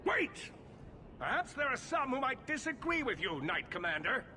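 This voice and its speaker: An actor playing an indignant, impassioned leader of mages, speaking formally and urgently in a declamatory fantasy game style. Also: male voice, extremely high pitch, very animated, normal speed, sharp tone, slight background noise, exaggerated voice. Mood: rallying. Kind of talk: dramatic fantasy video game acting.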